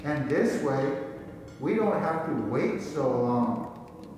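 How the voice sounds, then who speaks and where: A man's voice: a little high, animated, takes it slowly, music in the background. A man acting folksy inventor in echoey hall. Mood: earnest announcing. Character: Norman, folksy, wonderstruck amateur inventor of the 1940s. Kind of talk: 1940s radio play acting. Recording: echoey hall, distant mic, noisy.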